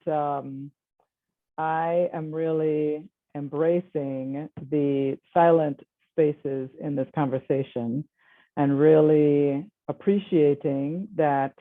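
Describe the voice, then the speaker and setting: A woman co-leading an online session in a calm, slow, reassuring way. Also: sits low, narrow, tinny audio. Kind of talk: online workshop discussion.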